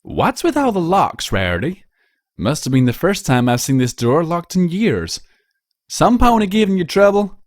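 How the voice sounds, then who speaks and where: A man puts on a female farm pony for a storybook reading. The voice is raised and lively, with a blunt, folksy drawl. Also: sits high, normal speed, no echo, clean studio sound, voice pushed up. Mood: curious.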